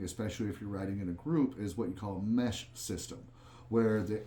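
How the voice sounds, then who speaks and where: A man's voice: lowish voice, dark tone. A man gives a casual, plain-spoken product review as a vlog host, talking conversationally in an echoing room. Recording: roomy, echoing room sound.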